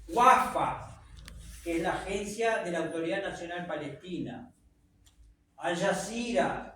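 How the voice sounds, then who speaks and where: A man's voice: high-pitched, fairly bright tone. Man lecturing in an echoey hall. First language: French. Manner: conversational lecturing with rhetorical questions. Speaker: speaker at a public talk. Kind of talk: public lecture to an audience. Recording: echoey room from far off, faint noise.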